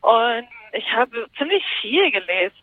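A woman's voice: on the high side, sing-song, measured pace. A woman who grew up in Japan and moved to Germany as a child, answering interview questions thoughtfully with many fillers. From Japan, moved to Germany at seven. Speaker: interview guest, columnist. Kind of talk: casual interview over the phone. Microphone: phone. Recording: dry tinny phone line.

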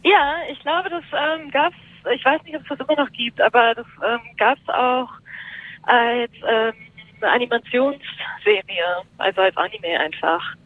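A woman's voice: on the high side, a little slow. A woman who grew up in Japan and moved to Germany as a child, answering interview questions thoughtfully with many fillers. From Japan, moved to Germany at seven. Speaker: interview guest, columnist. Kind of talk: casual interview over the phone. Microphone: phone. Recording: dry tinny phone line.

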